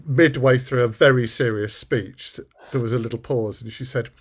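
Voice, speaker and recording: A man co-hosting an interview in casual conversation, halting and often repeating words as he goes. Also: medium pitch, steady tone, slightly rough. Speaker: producer and podcast interviewer. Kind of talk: casual chat.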